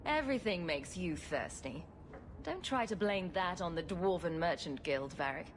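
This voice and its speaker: An actress playing a sarcastic, cool-headed rogue champion in a video game, with a dry manner. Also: female voice, on the low side, lively intonation, normal speed, slight background noise, natural voice. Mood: matter-of-fact. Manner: dry, sardonic. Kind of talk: video game cutscene acting.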